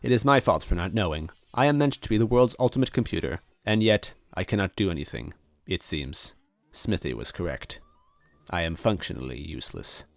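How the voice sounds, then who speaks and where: Guy reading as a sad outdated computer, very formal n apologetic, lowish dry voice, story character. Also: animated, fairly quick, gravelly, dry recording. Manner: formal, stilted, precise wording. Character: lonely, apologetic obsolete supercomputer, formal and polite.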